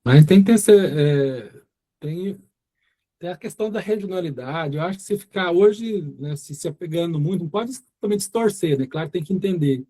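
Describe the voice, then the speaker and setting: Mellow-voiced man with a Goiás accent. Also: sits high, animated, moderate pace. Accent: Goiás Brazilian Portuguese. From Goiás, Brazil. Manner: rambling, many fillers and restarts. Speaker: course instructor. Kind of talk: informal class talk.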